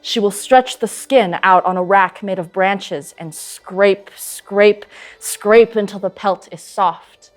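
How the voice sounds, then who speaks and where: An actress playing a young, proud eagle huntress in an earnest, intense dramatic monologue. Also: female voice, mid-range voice, takes it slowly, close to an everyday voice. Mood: hushed, tender. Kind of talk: dramatic stage monologue, vivid storytelling.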